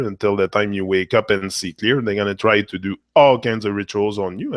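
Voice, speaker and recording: A man who is losing his voice, hosting a casual spiritual monologue and talking in a rambling, halting way with frequent fillers and repeated phrases. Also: medium pitch, a bit bright, dry recording, studio quality. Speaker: motivational channel host.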